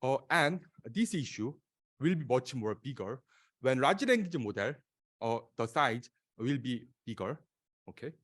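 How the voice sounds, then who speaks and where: A man from South Korea with a Korean accent and Korean as his first language, presenting slides at a conference in an auditorium with many halting pauses. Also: medium pitch, animated, a bit bright. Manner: halting, many filler sounds and restarts. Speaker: conference speaker.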